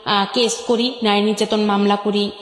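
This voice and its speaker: A woman's voice: medium pitch, flat delivery, moderate pace. A young woman with a Bangladeshi Bengali accent and an electronically altered voice, telling her life story emphatically and repeating phrases. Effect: voice changer on the voice. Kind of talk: personal storytelling on a radio show. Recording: echoey with long reverb.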